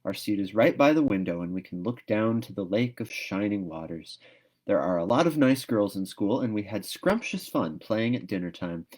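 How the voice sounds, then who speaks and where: A chatty, dreamy storybook schoolgirl, voiced by a man with a fairly low voice. She rambles on earnestly, full of gossip, wordy and dramatic. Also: big pitch swings, moderate pace, a little mellow. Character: chatty, dreamy, dramatic eleven-year-old orphan schoolgirl.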